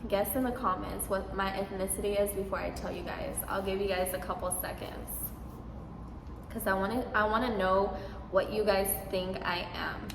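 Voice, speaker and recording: A woman's voice: mid-range voice, flat delivery, normal speed, vocal fry, background noise. Sassy young woman in an echoey room. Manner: sassy, emphatic, talks straight to viewers. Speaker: vlogger giving advice. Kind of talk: casual Q&A vlog talk.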